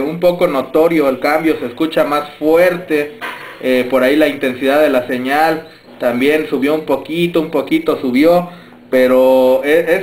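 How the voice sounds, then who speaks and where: A Mexican man giving signal reports as a radio operator, courteous and halting as he speaks indoors. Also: a little high. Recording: roomy camera pickup with faint noise.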